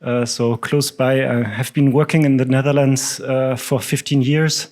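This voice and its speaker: German-accented male speaker, conference talk, halting delivery with lots of ums. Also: mid-range voice, flat delivery, darkish tone. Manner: hesitant, many fillers. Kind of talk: stage presentation.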